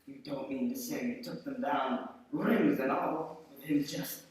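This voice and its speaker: A stingy old rogue of a dealer, acted by a man in a radio play, heard in a big echoing hall. He haggles slowly, with oily mock gallantry. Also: mid-range voice, a little mellow, slight background noise, close to an everyday voice. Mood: taken aback. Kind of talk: live radio play acting. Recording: echoey hall, far from the mic.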